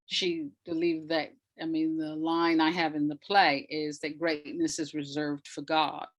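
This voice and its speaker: A woman's voice: sits low, flat delivery, measured pace. A woman speaking as a playwright guest in a thoughtful interview, reflective and repeating words for emphasis.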